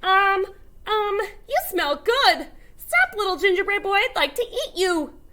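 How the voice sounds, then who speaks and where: A woman's voice: very high-pitched, expressive, unhurried, pushed and bright, pitched above the performer's own voice, full character voice. A woman voicing a hungry female cow in a storybook, hesitant and coaxing.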